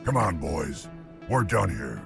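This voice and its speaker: A swaggering male arms dealer in a video game. He is gruff and curt, with a low, gravelly voice that moves around animatedly over clear music. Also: sing-song, takes it slowly, full character voice. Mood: cocky.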